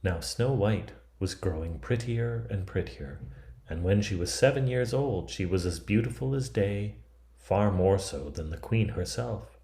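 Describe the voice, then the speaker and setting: A man in his fifties reading a storybook aloud in a measured, expressive, dramatic way. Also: fairly low pitch, vocal fry. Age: 50s. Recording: roomy, echoing sound.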